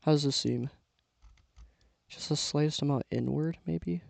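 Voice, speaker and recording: A young man giving casual, self-talking commentary while building with LEGO, losing his voice and clearing his throat now and then. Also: on the high side, unhurried, no room sound. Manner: relaxed, mutters to himself while building.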